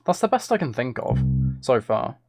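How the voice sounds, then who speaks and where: A man with a mid-pitched voice full of vocal fry talks informally to a livestream. He thinks out loud about the music he is writing and is quick to put down his own ideas. Plucked instrumental music can be heard in the background. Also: sing-song. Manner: self-deprecating, hums and sings out rhythms. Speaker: live streamer making music. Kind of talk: casual livestream chat, thinking aloud while composing.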